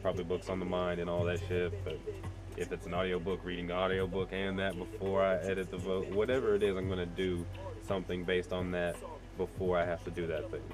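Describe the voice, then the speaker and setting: Low-voiced man rambling over loud music. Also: completely flat delivery, a little fast. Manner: profane, slangy, self-deprecating, thinking aloud. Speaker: vlogger, amateur music producer. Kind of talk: casual, rambling vlog talk.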